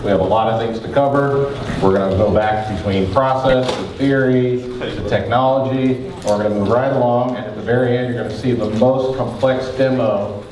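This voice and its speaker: A man delivers a lively conference talk, fast and joking with a big voice. He sounds distant from the mic, and the hall throws back a strong echo with faint room noise underneath. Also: medium pitch. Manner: fast-talking, joking, energetic. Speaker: conference presenter. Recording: echoey hall from far off.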